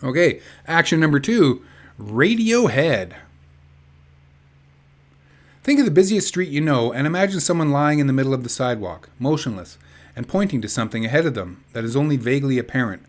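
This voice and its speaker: A man's voice: mid-range voice, lively intonation, quick pace. A man reading a book aloud in an informal, chatty way with casual asides. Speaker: reader of an activist book.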